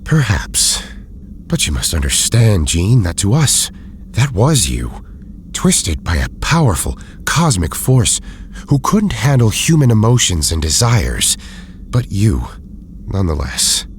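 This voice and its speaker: An actor playing an erudite, weary but loyal scientist in an audio drama, speaking in formal, deliberate phrases. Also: male voice, fairly low pitch, very animated, a little hiss or hum. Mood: solemn, probing.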